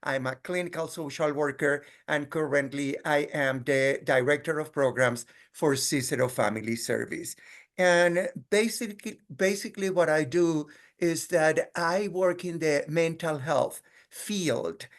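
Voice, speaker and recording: A middle-aged man presents to an online audience with a Colombian Spanish accent. He is earnest and measured, choosing his phrases deliberately and sometimes repeating them as he goes. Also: a little high. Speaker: guest speaker, clinical social worker. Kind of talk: webinar presentation.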